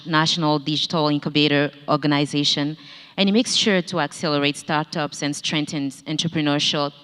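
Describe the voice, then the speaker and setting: Low smooth-voiced woman w/ gabonese french accent, moderating, big echoey hall. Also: fairly bright tone. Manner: formal, reads introductions carefully. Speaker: panel moderator. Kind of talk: conference panel moderation. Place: large conference hall. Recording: echoey hall, long reverb.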